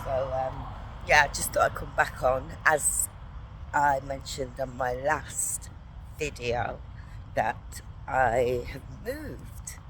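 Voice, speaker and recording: A woman with a gruff early-morning voice, chatting and praying on a devotional walk-and-talk vlog in a soft, rambling way. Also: very deep voice, slow, slight background noise, low-quality recording. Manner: soft-spoken, rambling, prayerful. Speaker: faith vlogger. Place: walking, from a lift to outdoors.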